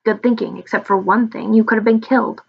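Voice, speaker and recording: Woman as a cautious young man with creaky voice. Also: on the high side, fairly even intonation, fast, highs cut off. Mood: concerned. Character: protective, cautious young male friend turned frog.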